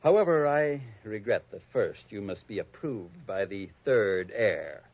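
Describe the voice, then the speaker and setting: Prim snobbish heir, haughty, sarcastic, husky, lo-fi vintage radio sound. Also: male voice, on the low side, lively intonation, measured pace, no room sound. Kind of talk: 1940s radio acting. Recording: dull lo-fi old radio recording.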